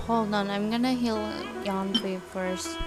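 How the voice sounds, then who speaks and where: A woman's voice: mid-range voice, fairly even intonation, unhurried, loud music behind the voice. A woman giving casual gameplay commentary as she plays. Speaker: gamer.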